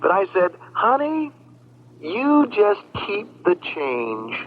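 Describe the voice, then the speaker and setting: A man's voice: high voice, huge pitch swings, takes it slowly, full character voice. An actor playing a bitter, sarcastic man in a vintage radio drama, heard through a telephone filter. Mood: wry, bitter. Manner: sardonic. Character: bitter, sarcastic man calling from phone booth. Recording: tinny phone line with faint noise.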